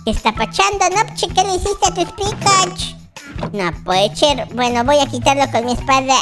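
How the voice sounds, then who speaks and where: A host voicing a baby boy character in a very high, childlike voice, giving excitable, exclamatory Minecraft roleplay commentary and repeating words. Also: moderate pace. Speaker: gaming video host. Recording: children's music behind the voice. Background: loud playful children's music.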